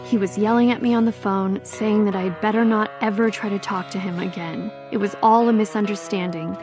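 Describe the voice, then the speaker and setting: A woman's voice: medium pitch, fairly even intonation, a little fast, natural voice. A woman playing an earnest interviewee, the loyal friend of a missing girl, speaking plainly. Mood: distressed. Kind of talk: interview in an audio drama. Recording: music clearly behind the voice. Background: music.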